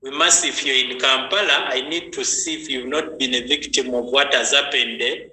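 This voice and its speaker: Bright-voiced man hosting online. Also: mid-range voice, fairly even intonation, moderate pace. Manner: chatty, greets viewers by name. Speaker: health session host. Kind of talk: online training talk. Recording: echoey room with faint noise.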